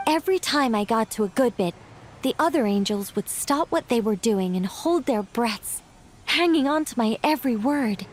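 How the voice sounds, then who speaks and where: A woman playing a gentle, theatrical angel-turned-witch storyteller in a video game, speaking in a polite, lyrical, self-assured way. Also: on the high side, lively intonation, moderate pace, slight background noise, natural voice. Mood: nostalgic, proud.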